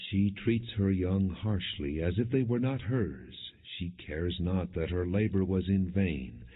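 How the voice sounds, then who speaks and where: A man reading scripture as an audiobook narrator in a solemn, measured, declamatory manner. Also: deep voice, slightly rough. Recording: muffled, narrow phone-like sound.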